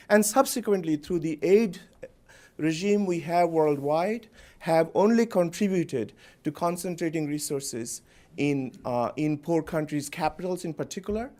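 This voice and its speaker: A man's voice: fairly high pitch, a little mellow. A middle-aged man from Bangladesh speaking on a conference panel, unscripted, with many fillers and some dry jokes. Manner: unscripted, halting with fillers, joking. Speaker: panelist, center director. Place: lecture hall.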